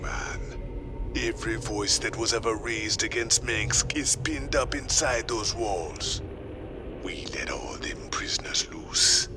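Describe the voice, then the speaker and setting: A streetwise rebel assassin for a dramatic video game. His Caribbean-accented voice is very deep and breathy, moving slowly and with menace over prominent music. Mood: conspiratorial. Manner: slow, intimidating, deliberate.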